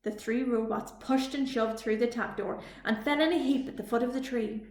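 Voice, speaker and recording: A woman reading a children's story aloud as a library storytime reader, with expressive delivery. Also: fairly high pitch, slight background noise. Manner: slow, expressive read-aloud. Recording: echoey room.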